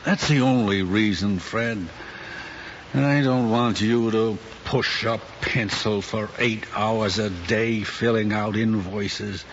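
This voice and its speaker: An actor playing an earnest, pressuring middle-aged father in a mid-century screen drama, speaking deliberately and insistently. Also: lowish voice, steady tone, slight background noise. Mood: earnest urging. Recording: muffled old film soundtrack, music behind.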